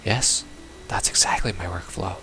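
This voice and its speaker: Low gravelly man with sardonic game commentary. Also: normal speed. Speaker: let's player. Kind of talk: casual gameplay commentary and reading aloud a visual novel. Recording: music behind the voice.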